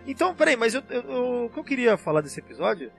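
High-pitched Brazilian man rambling enthusiastically. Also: big pitch swings, fast talker, creaky, fairly bright tone, soft background music. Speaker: podcast panelist. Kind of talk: casual fan discussion.

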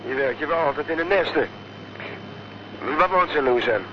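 An actor playing a wry, nosy, work-hungry private detective in a radio drama, with an informal manner. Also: male voice, on the high side, brisk, lightly acted. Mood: resigned, wry. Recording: very noisy, muffled old radio play recording.

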